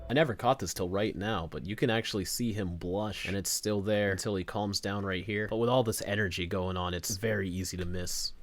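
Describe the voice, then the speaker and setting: A man's voice: fairly low pitch, fairly even intonation, brisk, hardly any pauses. A man giving casual, analytical episode commentary as a reviewer, attentive to small details.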